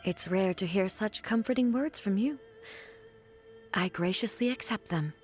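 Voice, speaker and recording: A woman voicing a composed, regal goddess ruler in a video game, speaking in a formal, measured, dignified way. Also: medium pitch, faint music behind, lightly acted. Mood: calm regal.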